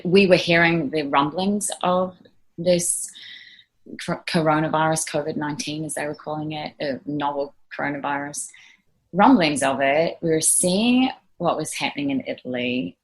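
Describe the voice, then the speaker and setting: A woman's voice: deep voice, unhurried, breathy edge, rough recording. A woman in her thirties with a New Zealand accent, a nurse answering interview questions earnestly, with frequent fillers and restarts. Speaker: ICU nurse guest.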